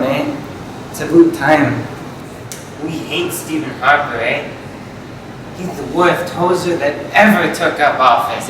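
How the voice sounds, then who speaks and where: A man's voice: medium pitch, lightly acted. A man playing a folksy, grumbling stereotypical Canadian with a Canadian accent, tagging remarks with questioning interjections in improvised comic banter. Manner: tags nearly every remark with a questioning interjection. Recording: very echoey, distant, noisy room.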